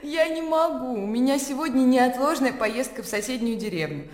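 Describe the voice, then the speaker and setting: Актриса играет рассудительную, сдержанную старшую сестру-дворянку в радиоспектакле, говорит размеренно и чуть снисходительно. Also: female voice, sits high, darkish tone, lightly acted. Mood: concerned, practical. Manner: measured, condescending. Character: sensible, composed, mildly reproving elder gentlewoman sister. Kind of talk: radio drama acting. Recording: echoey reverberant hall.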